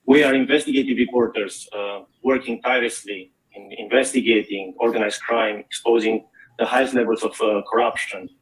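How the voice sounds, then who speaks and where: A middle-aged man from Romania, an investigative journalist, gives an earnest, measured talk at a forum with frequent filler pauses. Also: fairly low pitch, steady tone, rough recording. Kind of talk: prepared public talk.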